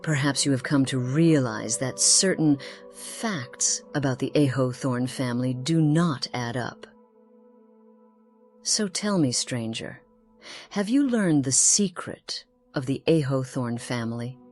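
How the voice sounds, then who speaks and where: A woman plays an ageless noblewoman from a fantasy game, with a low female voice and a very slow, measured delivery. She is formal and secretive, wistful yet sly, and leaves theatrical pauses. Faint music sits behind her. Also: expressive, darkish tone, full character voice. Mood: probing, sly. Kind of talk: scripted game character dialogue.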